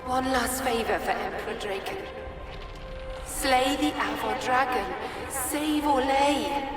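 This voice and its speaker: A woman voicing a sorrowful, confused spirit in a video game, speaking in halting, dreamy fragments. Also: high-pitched. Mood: dazed, mournful. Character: sorrowful confused female spirit echoing a dead woman's memories. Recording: cavernous echoing reverb, distant, music behind.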